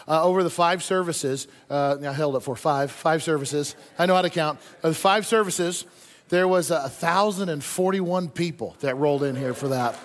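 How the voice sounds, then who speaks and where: Male pastor, high-pitched voice, chatty informal sermon delivery with repeated phrases, long hall reverb. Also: normal speed. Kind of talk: conversational sermon to a congregation. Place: large indoor church hall.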